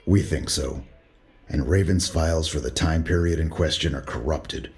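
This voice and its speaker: Man as a ship lieutenant, calm, direct, extremely deep voice. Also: moderate pace. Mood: steady. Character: steady, trusting male ship lieutenant.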